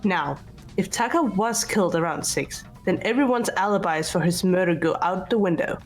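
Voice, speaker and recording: A woman playing a composed, logical student detective in an amateur game dub, speaking in a measured way over music. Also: on the low side, expressive. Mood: calm, analytical. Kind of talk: scripted amateur visual novel voice acting. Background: background music.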